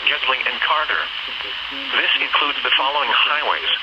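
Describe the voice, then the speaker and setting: A synthetic-sounding man announcing scripted emergency weather warnings in a flat, measured, formal way. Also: mid-range voice, muffled recording. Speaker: automated weather radio announcer. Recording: noisy, staticky weather radio.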